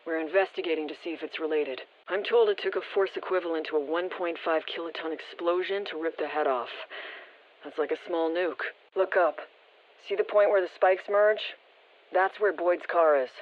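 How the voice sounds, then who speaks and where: A woman's voice: lowish voice, normal speed. Muffled radio voice of a military woman. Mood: brisk. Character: female field operative reporting intelligence over the radio. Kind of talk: scripted video game intel report. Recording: muffled, band-limited radio transmission.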